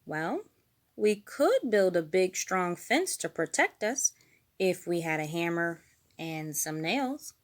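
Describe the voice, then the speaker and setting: A woman's voice: fairly low pitch, very animated, slow pace. A woman voicing an earnest, weary male frog sheriff in a children's cartoon storybook, sensible and given to sighing.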